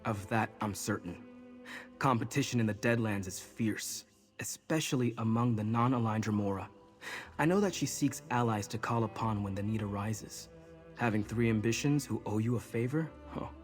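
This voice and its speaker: A steady male mage voiced by an actor speaks in a lowish voice over light music. He is calm and earnest, guiding companions through a fantasy game quest. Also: normal speed, lightly acted. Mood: earnest, reassuring.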